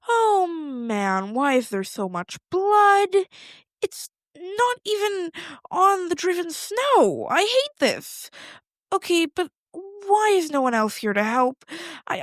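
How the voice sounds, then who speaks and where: A woman's voice: high voice, no echo, clean studio sound. A woman playing an anxious, squeamish, kind-hearted girl in an audio drama, rambling and hesitant as she blurts out questions. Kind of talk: audio fiction acting, naturalistic.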